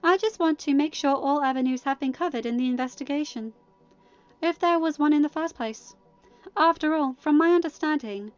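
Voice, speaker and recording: Lady doing a snooty older queen, high fake-sweet voice, scheming, storybook style, music behind. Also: muffled recording, natural voice. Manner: indignant, faux-sweet.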